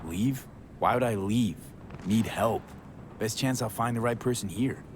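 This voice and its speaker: A blunt male mercenary with a low voice, terse and sardonic, clipping his sentences short, as a man plays him. Clear background noise runs under the game audio. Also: a little slow, lightly acted.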